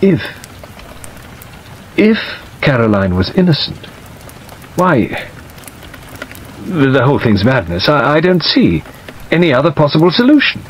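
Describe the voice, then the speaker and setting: A man voicing a weak, hesitant middle-aged gentleman in an audiobook, plaintive and wistful. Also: a little high, pitched above the performer's own voice. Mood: troubled, hesitant. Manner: hesitant, halting, self-qualifying. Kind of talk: dramatised audiobook reading. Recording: dull audio under steady rain noise.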